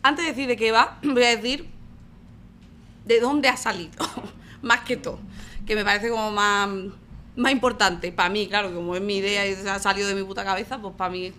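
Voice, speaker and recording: A woman with an Andalusian Málaga accent, an actress chatting in a lively, outspoken way as a guest on a live podcast. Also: mid-range voice, normal speed. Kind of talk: casual live podcast chat. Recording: live audience crowd noise.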